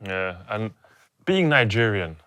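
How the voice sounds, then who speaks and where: Bright-voiced young man hosting. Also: on the low side, very animated, takes it slowly, no echo. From former Yugoslavia, raised in England. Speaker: podcast host. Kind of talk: casual chat, interviewing.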